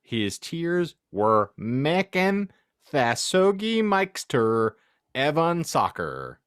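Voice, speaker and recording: A man's voice: fairly high pitch, wildly swooping pitch, extremely slow, fairly bright tone, clear, resonant tone, dry recording, clean studio sound. A man hosting a podcast, reading fan fiction theatrically for comedy and exaggerating oddly spelled words. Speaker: podcast host and narrator.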